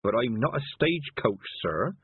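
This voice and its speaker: A man voicing a humble male cart driver who keeps deferring politely, with a husky voice. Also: mid-range voice, sing-song, normal speed, dry recording. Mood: deferential. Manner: deferential, keeps saying sir. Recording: dry tinny phone-like sound.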